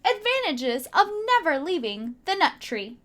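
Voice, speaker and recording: A woman teacher reading a children's picture book aloud with dramatic emphasis and hushed asides to young listeners. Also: high-pitched, big pitch swings, measured pace, slight background noise.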